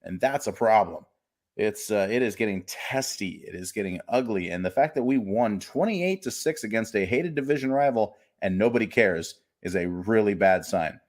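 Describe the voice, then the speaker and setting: A man with a fairly low voice co-hosts a laid-back sports podcast. He grumbles about his team in a wry, gloomy way and pokes fun at himself as he goes. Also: lively intonation, normal speed, a little mellow. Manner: wry, gloomy, self-mocking banter. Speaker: podcast co-host. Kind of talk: casual sports podcast chat.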